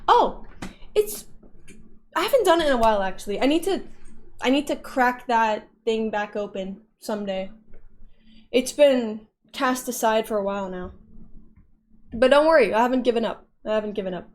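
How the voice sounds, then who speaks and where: A woman's voice: a little high, lively intonation, moderate pace, long pauses. A woman streamer chatting casually with her viewers, playful and often laughing as she reads chat messages aloud.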